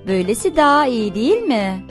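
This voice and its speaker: A woman's voice: on the high side, very animated, fairly quick. A woman narrating a cartoon for toddlers in a sing-song, encouraging way, often asking questions. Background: light music behind the voice.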